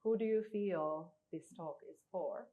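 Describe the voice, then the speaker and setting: A woman's voice: lowish voice, a little slow, phone-quality sound. A woman chairing a seminar, reading out questions and moderating the Q&A politely. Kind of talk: moderating a Q&A session.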